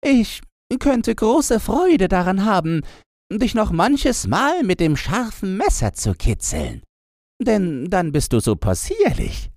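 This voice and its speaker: A man's voice: high voice, huge pitch swings, slow, a little mellow, no echo, clean studio sound, pitched above the performer's own voice, full character voice. Man doing a bossy little robber girl. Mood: teasing. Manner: bossy, teasing, threatening.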